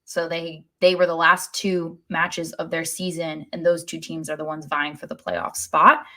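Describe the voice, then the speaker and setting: A woman's voice: low voice, flat delivery, normal speed, bright, forceful tone. An American woman co-hosting a sports podcast, chatting conversationally about the game. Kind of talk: conversational soccer podcast discussion.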